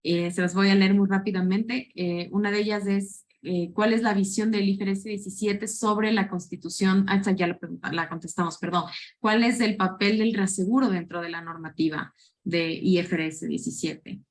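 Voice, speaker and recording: A woman's voice: mid-range voice, steady tone, normal speed. A woman moderating a webinar, reading audience questions aloud with many hesitant fillers.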